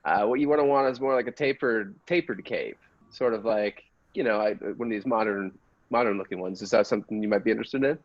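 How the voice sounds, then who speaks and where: A man playing a chatty, slightly snobbish clothing salesman in an improvised comedy scene, with halting, colloquial patter. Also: lowish voice, no room sound, muffled recording, lightly acted. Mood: teasing, critical. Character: chatty male cape-shop salesman, faintly snobbish about fashion.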